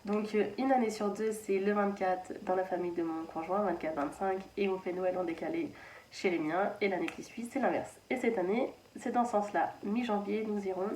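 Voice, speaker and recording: A woman's voice: mid-range voice, a little mellow, far from the mic, a little hiss or hum. Chatty woman in an echoey playroom. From France (Anjou). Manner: chatty, rambling, many fillers and restarts. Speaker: knitting channel host. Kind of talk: casual knitting podcast chat. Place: children's playroom at home.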